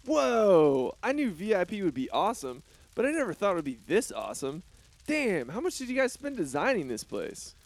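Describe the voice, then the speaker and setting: A man's voice: sits high, huge pitch swings, normal speed, no echo, full character voice. A man voicing a whiny, bratty rich teen boy. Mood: mocking. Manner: whiny and boastful, with sudden loud outbursts. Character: bratty, horny, self-absorbed teen rich boy hero. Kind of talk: animated comedy voice acting.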